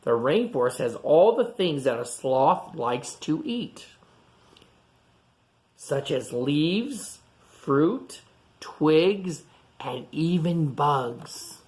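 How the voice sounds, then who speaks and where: A woman reading a picture book aloud to children, chatting to them in animated asides and questions. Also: sits low, very slow. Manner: animated asides to young listeners, asking questions. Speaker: storytime reader.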